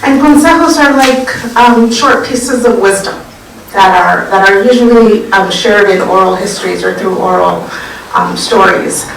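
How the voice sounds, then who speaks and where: A woman from California's Central Valley giving a prize acceptance talk with slides, speaking thoughtfully with frequent "um" pauses. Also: mid-range voice, gravelly, a little hiss or hum. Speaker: award recipient and education researcher. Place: lecture hall at an award event. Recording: echoey room, distant mic.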